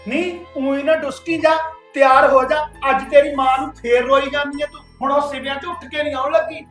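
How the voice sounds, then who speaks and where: A woman's voice: sits high, steady tone, brisk, reverberant, faint music behind, close to an everyday voice. A woman playing a bossy, scolding aunt who bluntly orders her crying niece about in a family skit. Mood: stern.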